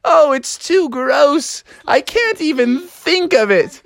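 A man acts out a nervous little frog son from a storybook. The voice leaps high and swoops about, whining with dramatic dread and squeamishness, in a dry recording. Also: animated, takes it slowly, creaky, dry recording, full character voice. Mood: fretful dread. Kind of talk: improvised children's storytelling.

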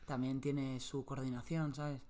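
A woman's voice: very deep voice, flat delivery, unhurried, mellow. A young woman from Spain talking casually as a guest, chatty, with many fillers and frequent laughs. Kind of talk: casual conversational interview.

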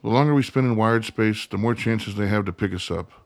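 Actor as earnest son, dry close sound. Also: male voice, lowish voice, a little fast, dry recording, natural voice. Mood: insistent. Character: earnest, pragmatic grown son pushing back. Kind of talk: radio play dialogue.

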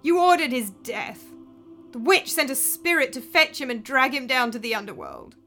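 A woman's voice: high voice, huge pitch swings, moderate pace, pushed and bright, soft background music, voice pushed up, full character voice. A woman voicing a grief-stricken young woman who screams and sobs furious accusations. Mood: accusing.

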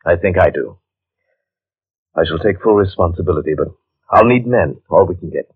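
Haunted adventurer narrating 1940s radio horror, real low voice, grave n intense, muffled old recording. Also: male voice, expressive, slightly rough. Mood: resolute. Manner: measured, ornate, dramatic storytelling. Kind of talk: 1940s radio drama acting, first-person narration.

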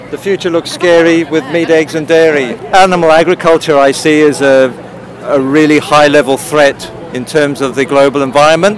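A man at a street protest giving an earnest explanation in an interview. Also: on the high side. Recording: outdoor street crowd.

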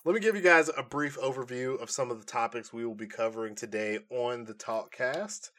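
A man hosting a podcast, explaining things in a casual, animated and informal way. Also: medium pitch, a little fast. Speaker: podcast host. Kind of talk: casual nerd-news podcast chat.

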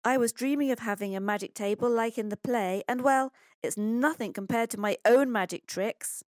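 Woman as young schoolboy, storybook character, worried, breathless, dramatic, raised pitch, dry recording. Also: normal speed, dry recording, lightly acted. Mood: amazed. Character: anxious schoolboy son, agitated and dramatic. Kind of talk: children's storybook dialogue.

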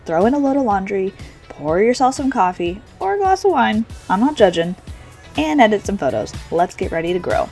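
A woman's voice: medium pitch, big pitch swings, a little fast, darkish tone. A woman podcast host reading an upbeat, cheerful show intro and then asking her guest questions. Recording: faint music behind.

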